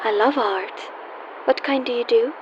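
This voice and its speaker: A woman's voice: fairly high pitch, measured pace, natural voice. A woman playing a wry, world-weary young call girl in a radio play, teasing yet guarded and wistful. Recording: dull lo-fi audio with faint hiss.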